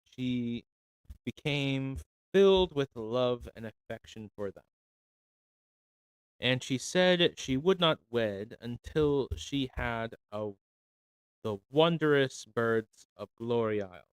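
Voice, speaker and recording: Man, storybook reader, slow steady delivery, voice a little rough from reading, smooth resonant tone, dry close mic. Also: mid-range voice, dry recording, rough recording. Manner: unhurried, measured reading with some stumbles. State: voice feeling rough after an hour. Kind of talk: cold reading folk tales aloud on a stream.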